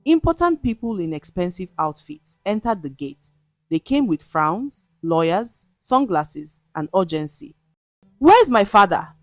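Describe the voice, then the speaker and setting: A woman narrates a scripted folktale as a dramatic storyteller. Her pace is unhurried and her pitch moves widely, recorded dry with soft music behind. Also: very animated, no echo.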